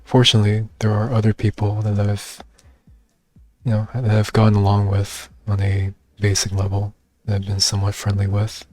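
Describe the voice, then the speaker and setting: A young man talking in a soft, reserved and hesitant way, with many fillers, in a personal video monologue. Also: on the low side, completely flat delivery, normal speed, soft background music. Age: young adult. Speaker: vlogger.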